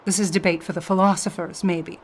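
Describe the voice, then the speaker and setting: An actress playing a level-headed, skeptical intellectual, debating in a formal and dismissive way in a radio drama. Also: female voice, lowish voice, steady tone, fairly quick, close to an everyday voice. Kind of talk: theatrical radio drama acting.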